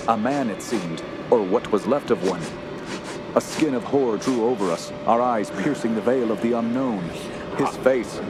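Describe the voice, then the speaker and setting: A man's voice: mid-range voice, close to an everyday voice, poor audio. An actor playing a haunted young man who narrates a horror radio drama in solemn, clipped phrases. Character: haunted young nephew recounting wilderness terror. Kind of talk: scripted first-person horror radio narration. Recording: loud dramatic music behind.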